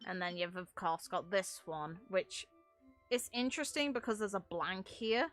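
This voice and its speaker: Bright-voiced woman in casual game commentary. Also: medium pitch, normal speed, faint music behind. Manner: informal, sweary, wry asides. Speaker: gaming video creator discussing game lore. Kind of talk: casual rambling commentary with quoted readings.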